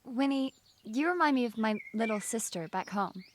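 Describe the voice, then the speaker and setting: A woman playing an outspoken, warm-hearted young American wartime worker in an audio drama, chatty and emphatic. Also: fairly high pitch, lively intonation, normal speed, a little hiss or hum, lightly acted. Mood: warm, encouraging.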